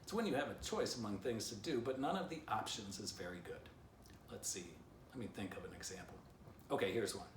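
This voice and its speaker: A man with a fairly low voice reads a children's story aloud, chatting playfully to the listener. The recording is echoey and a bit distant from the mic, with faint noise. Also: animated, fairly quick. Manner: playful direct address to the listener. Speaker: author reading aloud.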